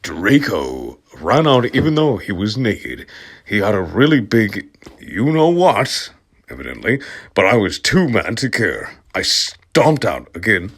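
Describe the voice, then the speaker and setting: A man reads a story aloud with a slow, unhurried pace and a very animated pitch. He is theatrical and sardonic, treating the text as comedy and moving from murmured asides to loud outbursts. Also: breathy edge. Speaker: reader of comic fan fiction. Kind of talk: dramatic comic read-aloud with sardonic asides.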